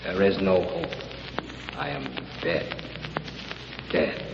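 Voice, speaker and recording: Slow, broken townsman in echoing gloom. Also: deep voice, steady tone. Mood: hopeless. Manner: anguished, dramatic, halting. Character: broken, despairing townsman, man, hopeless and dazed. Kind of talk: 1930s radio drama acting. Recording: echoey, dull, very noisy 1930s radio.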